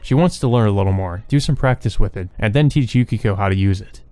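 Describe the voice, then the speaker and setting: A man's voice: fairly low pitch, fast, mellow. A man reading out scripted visual novel narration as a let's play narrator.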